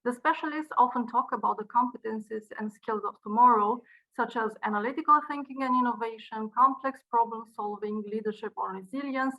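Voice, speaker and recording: A woman's voice: fairly high pitch, sharp tone, rough recording. Earnest Romanian-accented woman giving a speech. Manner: earnest, measured delivery with occasional restarts. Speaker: university director giving a speech. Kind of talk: prepared speech.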